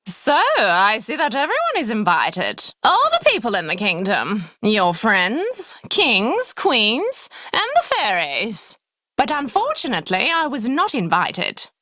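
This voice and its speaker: A woman's voice: a little high, huge pitch swings, takes it slowly, bright, forceful tone, full character voice. A woman voicing an evil, menacing fairy who is gloating and offended, speaking in a theatrical, declaiming manner for a children's fairy tale.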